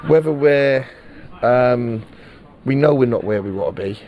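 A man's voice: medium pitch, measured pace, highs cut off. A middle-aged man, a football manager giving a frank post-match interview and often repeating words as he searches for them.

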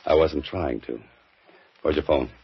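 A deep-voiced, wisecracking writer. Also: male voice, measured pace, breathy edge, no room sound, exaggerated voice. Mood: wry. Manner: dry, wisecracking. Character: wry male mystery writer on the run. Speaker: lead actor and narrator. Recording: dull old radio recording with music.

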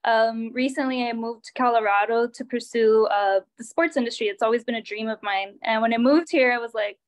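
A young woman from Southern California speaks as a panelist on a video call. She talks casually, with many ums and uhs. Her voice sits a little high. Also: brisk. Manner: chatty, filler-heavy.

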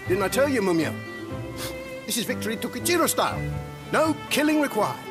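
Exuberant high-pitched samurai leader. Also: male voice, sing-song, brisk. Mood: jovial, confident. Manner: exuberant, chummy, theatrical. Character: boisterous, cheeky, good-natured samurai leader. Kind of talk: animated video game cutscene acting. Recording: loud music behind the voice.

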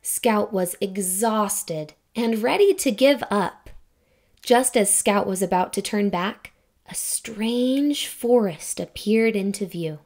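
A young woman hosting a children's read-aloud, reading a storybook in an expressive, deliberate, exclamatory way. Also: mid-range voice.

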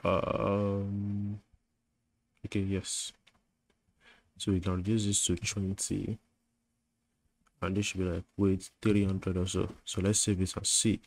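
A man's voice: low voice, level tone, slow, creaky, pauses often, darkish tone, dry recording. A man giving a screen-recorded coding tutorial, thinking aloud as he types, with fillers and self-corrections.

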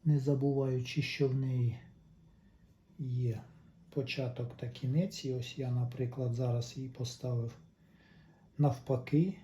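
A man talks through repair work step by step. His voice is dark and relaxed, at an ordinary pitch. He speaks methodically in short phrases, over a faint layer of background noise. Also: measured pace, pauses often. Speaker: repair tutorial host. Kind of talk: unscripted step-by-step hands-on instruction.

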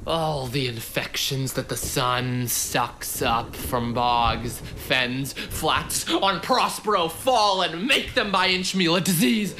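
A man playing a bitter, tormented island monster in a Shakespearean radio play, speaking in a halting, theatrical way. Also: on the high side, music in the background, low-quality recording. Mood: bitter cursing.

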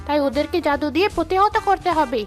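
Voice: sits high, expressive, quick pace, soft background music. A performer voicing a stern, proud female ghost schoolteacher who lectures and scolds in a children's cartoon. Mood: proud, solemn.

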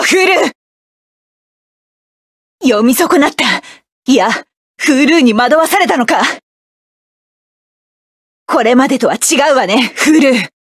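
An actress voicing a proud, tough woman mech pilot in a game, with sharp, self-assured combat lines. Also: high-pitched, dry recording, studio quality. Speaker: game character.

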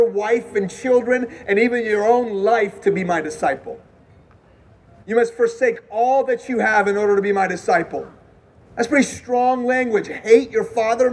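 Animated high-voiced preacher on a dark stage. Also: male voice. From Houston, Texas. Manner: animated, conversational, asks the crowd questions. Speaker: campus preacher. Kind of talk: evangelistic sermon with testimony. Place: dark stage in a large hall.

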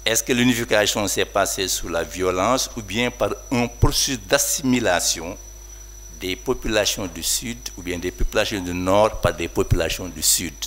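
A man speaking at a conference, weighing his points in a measured, reflective way. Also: low voice, fairly bright tone, slight background noise. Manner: measured, reflective, hedging remarks. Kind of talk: academic talk.

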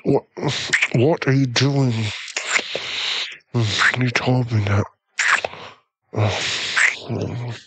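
A man playing a doting, easily swayed millionaire boyfriend in an ASMR roleplay, pleading and halting, with breathy sighs. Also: mid-range voice, a bit bright, heavily acted. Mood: flustered, yielding.

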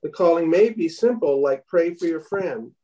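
A man in his fifties leading a bible study on a video call, reading aloud from a book with halting restarts. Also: a little high, animated, warm tone, recorded from a distance. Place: home room indoors. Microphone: laptop webcam on a video call.

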